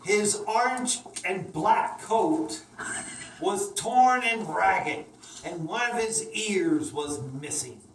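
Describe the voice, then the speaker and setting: A woman's voice: medium pitch, lively intonation, slow pace. A woman narrating a children's story expressively as a puppet show storyteller. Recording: echoey room, distant mic, clear noise.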